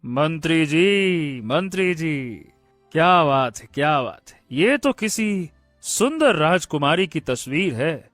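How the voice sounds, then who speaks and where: Kind young cartoon prince, male actor: slow, theatrical delivery, high voice, excitable. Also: no echo, faint music behind, full character voice. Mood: smitten wonder. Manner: theatrical, drawn-out exclamations and hums. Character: excitable kind-hearted young prince of a fairy-tale kingdom. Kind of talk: animated Hindi moral-story cartoon dialogue.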